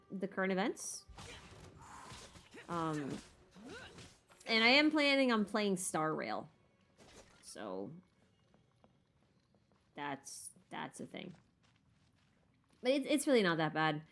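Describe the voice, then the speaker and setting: A woman hosting a casual live Q&A stream while gaming, chatting in a playful, self-deprecating, rambling way with muttered asides. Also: medium pitch.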